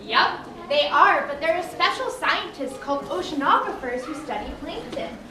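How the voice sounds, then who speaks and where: A woman's voice: high-pitched, expressive, moderate pace. A woman playing an enthusiastic ocean guide in a children's puppet show, explaining theatrically. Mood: eager, explanatory. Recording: echoey hall, distant mic, audience noise.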